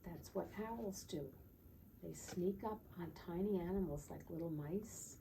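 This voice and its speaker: A woman teacher leading storytime for young children, gently explaining and often checking in with little questions. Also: on the low side, a little slow, vocal fry. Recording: distant mic with faint background noise.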